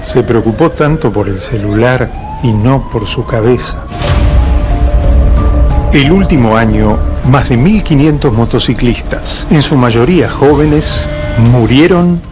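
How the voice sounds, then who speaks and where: An Argentine man voices a scripted radio safety announcement. His pitch is middling, and a clear production bed plays behind him. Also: animated, measured pace, music in the background. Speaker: public-service announcer.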